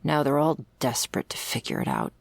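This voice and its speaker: A woman playing a jaded, sardonic vampire's servant in an intimate ASMR roleplay, talking in a wry, world-weary, gravelly way. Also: very deep voice, huge pitch swings, brisk, no room sound, heavily acted. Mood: dry, musing. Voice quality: very creaky, gravelly. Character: jaded, sardonic female vampire's servant explaining undead lore.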